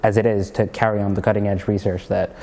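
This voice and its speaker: A man's voice: on the low side, little pitch movement, fast, dark tone, long reverb tail, a little hiss or hum. A young man from Norway with an American-sounding accent, a comedian and student chatting in an interview, rambling with lots of fillers and restarts. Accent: American-sounding English learned in Egypt. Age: young adult. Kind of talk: casual interview chat.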